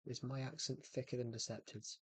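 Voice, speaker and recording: A man's voice: mid-range voice, little pitch movement, brisk, breathy edge. A man chatting casually on a game livestream, rambling and often repeating and restarting his phrases. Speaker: gaming streamer. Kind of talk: casual livestream chat while playing.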